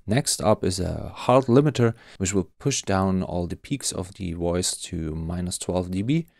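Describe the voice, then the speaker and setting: A man presenting an explanatory tutorial, walking through things step by step in a measured way. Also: low voice, lively intonation, gravelly, a little mellow, no echo, studio quality.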